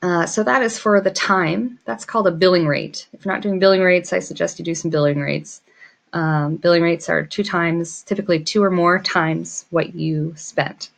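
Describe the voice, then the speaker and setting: A woman's voice: fairly low pitch, moderate pace, creaky. A woman giving an informal tutorial, thinking aloud and muttering to herself as she works through it. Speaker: small business owner teaching pricing.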